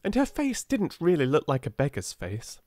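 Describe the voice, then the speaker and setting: Storybook rich schoolgirl, British, fretful and excitable, pitch lifted man reading the part. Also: normal speed, no room sound, clean studio sound. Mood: anxious, excited. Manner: alarmed, chattering. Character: well-to-do young sisters, anxious and excitable.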